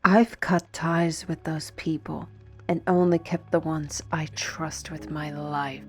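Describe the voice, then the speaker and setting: A woman's voice: sits low, little pitch movement, slow pace, darkish tone, soft background music. A woman playing a proud, defiant steward in a fantasy audio drama, with a formal, biting manner. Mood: guarded.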